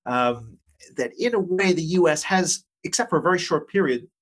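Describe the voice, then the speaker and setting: A man's voice: on the high side, animated, moderate pace. A man speaking as an academic interview guest, explaining his points with many qualifiers. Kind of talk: interview discussion.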